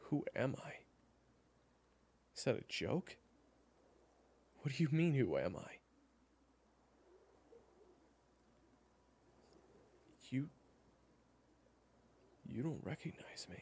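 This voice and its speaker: A man playing a worried, exasperated friend in an audio roleplay, speaking haltingly and naturalistically. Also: medium pitch, big pitch swings, darkish tone, a little hiss or hum. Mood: alarmed, hurt. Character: worried, exasperated male friend of an amnesiac, gently explaining. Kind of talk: audio roleplay acting, one-sided dialogue.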